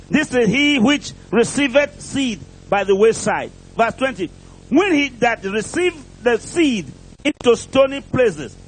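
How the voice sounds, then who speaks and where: A man's voice: very high voice, low-quality recording. A man preaching a sermon from a pulpit in an emphatic, declamatory way, with short repeated phrases and rhetorical questions. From outside England, preaches there as a visitor. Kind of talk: impassioned prosperity sermon. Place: church pulpit, preaching to a congregation.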